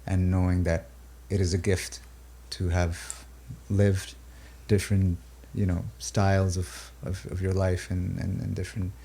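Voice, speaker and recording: Man, low flat voice, gravelly, reflective musician, halting with fillers, native urdu speaker. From Pakistan and the UAE. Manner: thoughtful, halting, many fillers and restarts. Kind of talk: reflective interview talk.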